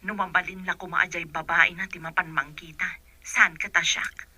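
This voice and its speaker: A woman's voice: fairly low pitch, flat delivery, normal speed, sharp tone, husky, no room sound, heavily acted. A woman playing an earnest female family member in a radio drama. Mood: doubtful, resigned. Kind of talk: Filipino radio drama acting.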